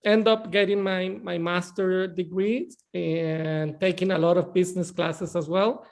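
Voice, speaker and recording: A middle-aged man from northern Mexico, speaking English as a guest on a video-call interview, often restarting and repeating words. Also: sits high. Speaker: bank CEO, guest.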